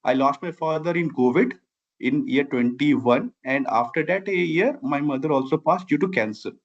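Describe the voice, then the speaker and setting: A man's voice: on the high side, normal speed, highs cut off. A man in his forties from Bihar in India, with a Bihari Indian accent and Maithili as his first language, giving long, earnest, winding interview answers. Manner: earnest, long winding sentences with repeated "I have". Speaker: guest, civil engineer and founder.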